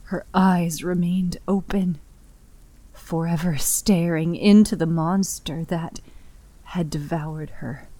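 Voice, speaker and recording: A woman giving a dramatic scripted narration of a horror story in a grave, breathy manner, often dropping to a near whisper. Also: lowish voice, darkish tone, no room sound, low-quality recording.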